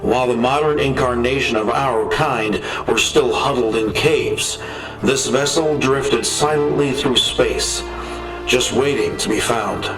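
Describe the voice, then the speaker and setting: An actor playing a measured male research lead, giving a formal, deliberate and articulate report in a science fiction audio drama. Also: mid-range voice, lightly acted. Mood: measured, thoughtful. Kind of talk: dramatic scripted report narration. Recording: roomy echo, distant, music underneath.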